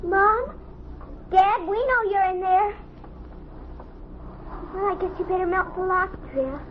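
A woman's voice: very high-pitched, fairly even intonation, a little slow. An actress playing a sweetly menacing little girl, coaxing in a singsong way, in 1950s radio drama style. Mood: sweet menace. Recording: muffled old radio recording, faint noise.

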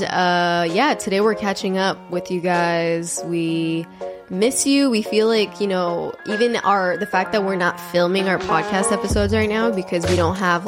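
A young woman co-hosts a podcast from a table in her apartment, a bit stuffy and nasal from a cold. Her voice sits on the lower side, and she rambles in a relaxed, chatty way with plenty of fillers, while soft music plays faintly behind her. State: has a cold, slightly nasal. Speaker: podcast co-host. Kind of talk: casual chat.

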